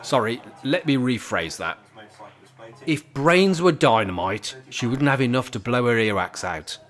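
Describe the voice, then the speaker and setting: A man's voice: medium pitch, expressive, unhurried, fairly bright tone. A man acting a cantankerous, sarcastic old British rebel. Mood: indignant. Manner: sardonic, grumbling. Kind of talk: radio play acting.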